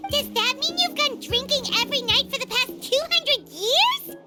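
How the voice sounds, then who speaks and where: A woman voicing a small, chirpy, excitable fairy companion in a game. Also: very high voice, moderate pace, exaggerated voice. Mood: worried. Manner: bubbly, excitable, refers to self in third person. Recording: game audio with music behind.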